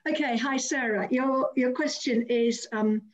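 Higher-voiced woman hosting an online event. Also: a little slow, low-quality recording. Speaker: host of an online poetry reading event. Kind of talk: casual chatty event moderation. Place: indoors at home, on a group video call. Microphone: video call.

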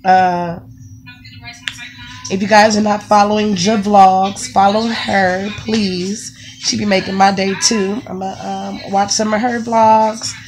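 A woman in her thirties with a raspy voice from the flu, chatting casually to her phone camera at home, with lots of ums. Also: medium pitch, little pitch movement, slow pace, background noise. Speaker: vlogger, stay-at-home mom. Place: home bedroom, indoors. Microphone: phone camera held close.

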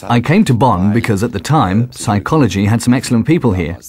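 A middle-aged man recalling his studies in a thoughtful, unhurried interview. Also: mid-range voice, animated, darkish tone. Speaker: interviewed alumnus. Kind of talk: relaxed interview recollection. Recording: faint music underneath.

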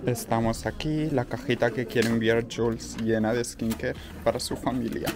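A man's voice: medium pitch, fairly even intonation, moderate pace, over music. A man in his twenties with a Spain Spanish accent, chatting casually as a vlogger. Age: 20s. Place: busy restaurants, shops and a home kitchen. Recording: noisy handheld vlog recording.

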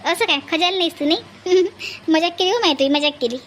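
A woman's voice: very high voice, fairly quick, fairly bright tone, a little hiss or hum. A woman voicing a kindly, bustling village mother in an amateur cartoon comedy, earnest and chatty, with a Varhadi Marathi accent. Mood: happy, teasing.

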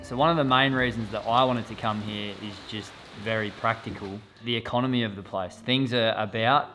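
A man's voice: lowish voice, steady tone, brisk, bright, forceful tone, faint music behind. A man, chatting animatedly to camera as a vlogger. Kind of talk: casual talk to camera. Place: poolside villa outdoors, then living room.

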